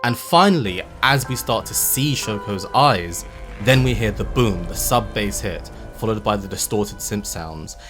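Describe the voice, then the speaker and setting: A man narrating a video essay in an explanatory, measured way with emphatic phrasing and casual asides. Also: lowish voice, lively intonation. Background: background music.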